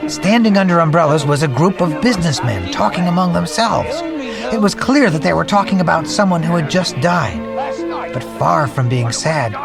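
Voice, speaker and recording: An earnest storyteller creature narrates a radio play with theatrical pride. The voice is creaky and very expressive, with music playing underneath. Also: male voice, a little high, normal speed. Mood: dramatic, hushed. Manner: theatrical, dramatic, patient narrator. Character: earnest male storyteller creature, proud of his art.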